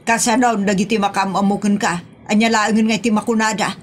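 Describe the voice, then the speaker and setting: A woman's voice: mid-range voice, steady tone, a little fast, a little hiss or hum, natural voice. A woman with an Ilocano accent acting as a scolding, easily mortified mother in a comedy audio drama, exclaiming in exasperation.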